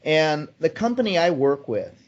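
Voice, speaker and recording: A man in his early sixties speaks with eager, persuasive energy as an interview guest, his voice sitting a touch high for a man. He often breaks off into ums and restarts. The recording sounds slightly dull and muffled. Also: very animated, moderate pace. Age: 60s. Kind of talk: conversational interview answers.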